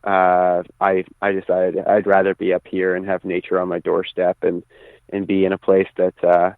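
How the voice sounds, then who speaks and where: Guy calling into a radio chat, lowish voice, thin phone sound, kinda thoughtful. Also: completely flat delivery, moderate pace, no echo. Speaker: musician guest. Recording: tinny narrow phone line.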